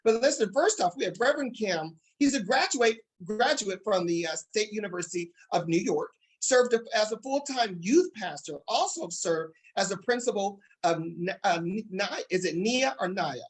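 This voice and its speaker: An upbeat man hosting a talk show. He speaks with excited emphasis and addresses his listeners directly, at a middling pitch. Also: normal speed, few pauses, rough recording.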